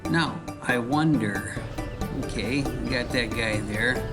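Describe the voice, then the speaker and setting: A man's voice: medium pitch, takes it slowly, over music. A man hosting a casual travel vlog, talking to viewers in a chatty, digressive, rambling way. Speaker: travel vlogger and host.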